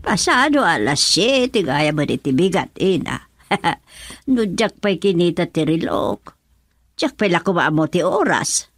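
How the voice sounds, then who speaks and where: An animated actress as a jolly older mother in an Ilocano radio drama. Her voice swings widely as she fusses and teases with plenty of exclamations, in a dry recording. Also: lowish voice, measured pace, breathy edge, no room sound, full character voice. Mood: cheerful, teasing. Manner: exclamatory, chuckly. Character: jolly, teasing older mother, chatty and fussy.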